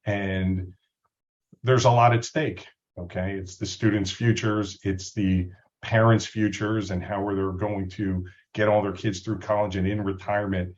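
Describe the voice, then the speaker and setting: A man with a somewhat low voice talks enthusiastically through a video call. He fills his pauses with ums and uhs as he gives casual webinar remarks. The call audio is dull and lo-fi. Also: little pitch movement. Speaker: board president of an advisors' association. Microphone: audio only on a video call.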